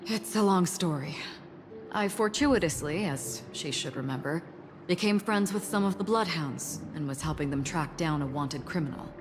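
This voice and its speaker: A woman's voice: deep voice, moderate pace, background noise, natural voice. An actress playing a stately, courteous woman wanderer in a video game, earnest and unflustered, with formal, wordy politeness. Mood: polite, relieved. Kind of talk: video game character dialogue. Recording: game music clearly behind. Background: game music.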